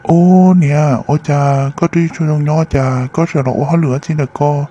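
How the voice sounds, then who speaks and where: An actor voicing a man in an animated family drama, in an amateur cartoon style. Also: a little high, normal speed, close to an everyday voice. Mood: conversational. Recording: dull lo-fi sound, faint noise.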